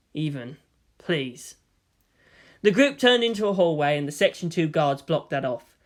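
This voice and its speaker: A narrator giving a dramatic scripted reading of a story. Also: mid-range voice.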